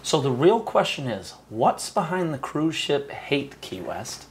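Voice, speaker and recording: Deadpan comedy news anchor man. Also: unhurried, a little hiss or hum. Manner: deadpan newsreader delivery, lively pitch. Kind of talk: mock news broadcast. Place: small studio set.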